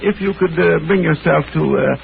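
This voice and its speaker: A keen detective, fast clipped talk, really creaky voice, old muffled radio play. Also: male voice, a little high. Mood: calm, businesslike. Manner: clipped, repeats short phrases. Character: brisk, keen, self-assured gentleman detective. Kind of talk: 1930s radio drama acting. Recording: muffled, hissy old 1930s radio recording.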